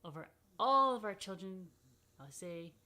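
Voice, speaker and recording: A woman's voice: medium pitch, lively intonation, a little slow, sharp tone. A woman rabbi hosting a children's story time, speaking informally and playfully straight to the children in a chatty way.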